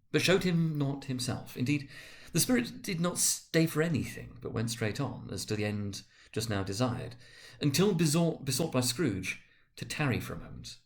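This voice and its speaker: A man narrating a classic story as a dramatic reading, in a theatrical, expressive manner. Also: mid-range voice.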